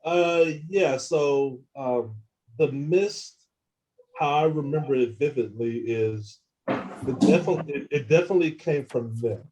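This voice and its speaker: Halting man with a dark muffled voice. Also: mid-range voice. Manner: halting, repeats words and restarts. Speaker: guest. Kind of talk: casual first-person account.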